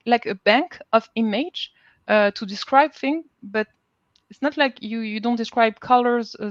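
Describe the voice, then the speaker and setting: A woman with a French accent talking informally as a panel guest, haltingly searching for words. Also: mid-range voice, gravelly, no room sound, dull audio. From France. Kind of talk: informal live panel talk.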